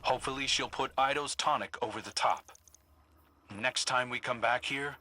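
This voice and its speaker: Firm, plain-spoken soldier, medium pitch. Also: male voice, steady tone, normal speed. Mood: resolute. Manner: firm, matter-of-fact. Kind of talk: video game character acting. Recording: voice under loud music.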